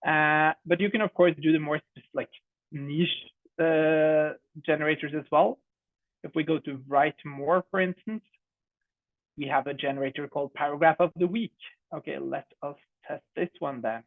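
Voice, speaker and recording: A man's voice: fairly high pitch, fairly even intonation, moderate pace, no room sound. A young man with a Norwegian accent, a startup co-founder giving a friendly, chatty product demo over a video call, with many fillers. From Norway. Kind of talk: online demo presentation. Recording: dry, phone-like video call audio.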